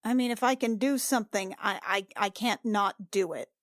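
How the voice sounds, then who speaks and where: Halting, informal woman gamer, dry close sound. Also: mid-range voice, no room sound. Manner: halting, informal, repeats herself. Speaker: player in a tabletop game. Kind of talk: casual in-character and table chat.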